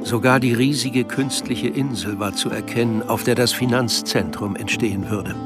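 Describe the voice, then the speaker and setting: A man's voice: medium pitch, normal speed, over loud music, close to an everyday voice. A man acting as the observant, wry narrator of a German radio drama, reading scripted literary prose.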